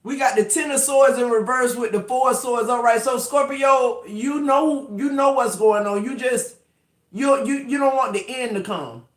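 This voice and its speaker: High-voiced 50s man in an echoey room. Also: moderate pace. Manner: emphatic, profane, rambling with restarts. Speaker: tarot reader. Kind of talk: casual tarot reading to camera.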